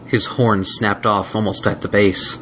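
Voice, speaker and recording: A man reading a fan-written story aloud in a steady storytelling manner. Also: lowish voice, measured pace, slight background noise, poor audio. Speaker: reader. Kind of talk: fan fiction audiobook reading.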